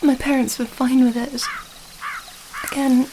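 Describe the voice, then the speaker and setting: Soft, high-voiced young princess acted by a woman. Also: little pitch movement, unhurried, slight background noise, low-quality recording. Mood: reassuring, thoughtful. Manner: soft, hesitant, gently rambling. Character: young princess, earnest, affectionate, slightly flustered lover. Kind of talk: intimate ASMR romance roleplay.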